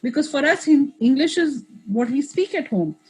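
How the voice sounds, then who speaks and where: A woman's voice: sits high, lively intonation, normal speed, a little mellow, low-quality recording. A woman in her thirties, a guest in an interview, talking in a reflective way with many restarts and asides. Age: 30s. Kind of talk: casual interview conversation.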